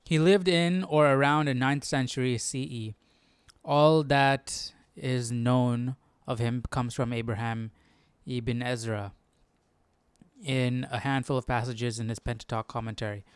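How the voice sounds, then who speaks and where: A man with a smooth, clean voice on the low side, recorded close and dry. He hosts a Bible study stream, talking to himself in an informal, inquisitive way and reading passages aloud as he goes. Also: fairly even intonation, unhurried, no room sound. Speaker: Bible study livestream host. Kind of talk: casual livestream study, thinking aloud and reading articles aloud.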